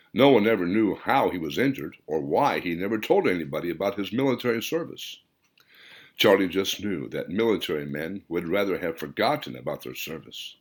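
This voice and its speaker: Male audiobook narrator, lowish pitch with very lively swings, dramatic and emphatic storyteller. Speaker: author reading own novel aloud.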